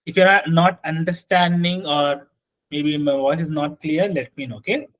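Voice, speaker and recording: A man teaching an online software class over a video call, often checking in with his students, with a slight cough. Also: fairly high pitch, moderate pace. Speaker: software trainer.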